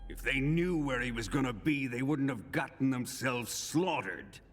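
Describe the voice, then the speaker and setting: An actor playing a sadistic military colonel in a video game, taunting and theatrical in a deliberate way. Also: male voice, mid-range voice, lively intonation, close to an everyday voice. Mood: suspicious. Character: sadistic, menacing military colonel interrogating a captive. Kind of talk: dramatic video game acting.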